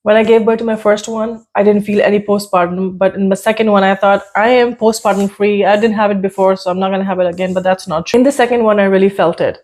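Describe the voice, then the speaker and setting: A woman's voice: mid-range voice, fairly even intonation, fast, few pauses. A woman in her thirties hosting a parenting podcast, chatting casually with many fillers and restarts. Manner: warm greeting, conversational with fillers and repeats. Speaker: podcast host.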